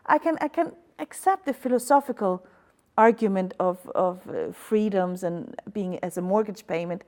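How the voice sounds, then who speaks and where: A woman's voice: mid-range voice, moderate pace, darkish tone. A woman hosting a panel discussion, speaking in a conversational way. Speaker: talk show host.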